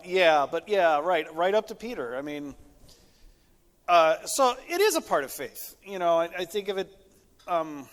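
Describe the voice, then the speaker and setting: A man who is a pastor, talking casually about faith in a conversational, storytelling way with frequent asides. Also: a little high. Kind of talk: casual theological discussion. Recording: echoey hall with long reverb.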